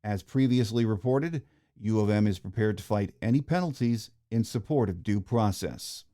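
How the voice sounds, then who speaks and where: A man's voice: on the low side, moderate pace, mellow. An American man hosting a sports podcast, reading scripted news and introductions.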